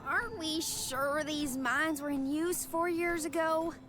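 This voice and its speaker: A questioning female adventurer in a fantasy game. Her voice is high and her pace slow and measured, with faint music underneath. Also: fairly even intonation. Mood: skeptical. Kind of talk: video game cutscene acting. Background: faint background music.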